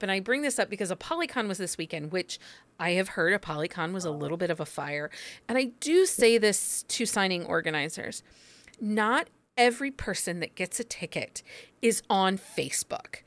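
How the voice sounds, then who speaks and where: A woman in casual chat with an opinionated, outspoken way of talking. She sits at a medium pitch for a woman. The recording is dry and close, with no room sound. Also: expressive, normal speed, fairly bright tone, no room sound. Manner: opinionated, leads the conversation. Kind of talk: casual chat about book signings.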